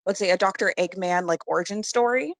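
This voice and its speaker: A woman's voice: mid-range voice, a little fast, no room sound, clean studio sound. A woman chatting casually as a podcast guest, in a playful and giggly manner. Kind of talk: casual chat about games and films.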